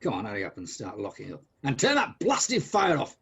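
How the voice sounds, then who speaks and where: An actor playing a miserly, bad-tempered old businessman in a comic radio drama, husky, sarcastic and blustering. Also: sits low, steady tone, very fast, heavily acted. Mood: irritable nagging.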